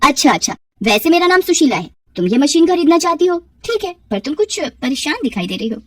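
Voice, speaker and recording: A woman's voice: high-pitched, sing-song, brisk, studio quality, pitched above the performer's own voice. A woman voicing a kindly, motherly, talkative elderly neighbour in a cartoon story. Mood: kind, concerned. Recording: roomy echo, distant from mic.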